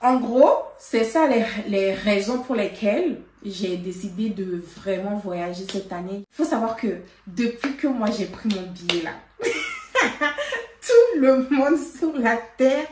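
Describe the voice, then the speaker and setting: A woman vlogger telling a story in casual chat, animated and laughing, with lots of fillers. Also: mid-range voice, unhurried, a little hiss or hum. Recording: roomy, from a distance.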